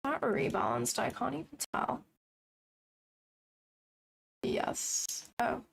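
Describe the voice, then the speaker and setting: A young woman with a raspy voice, chatting casually and thinking aloud as she hosts a live trading stream. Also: high voice, animated, takes it slowly, rough recording. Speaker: trading livestream host.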